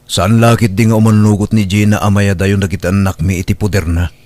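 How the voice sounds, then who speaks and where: Guy acting as ilocano dad in an audio drama, lowish voice, earnest, plain spoken. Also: little pitch movement, fairly quick, darkish tone, dry recording, close to an everyday voice. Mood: concerned. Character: concerned husband and father, plain-spoken adult man.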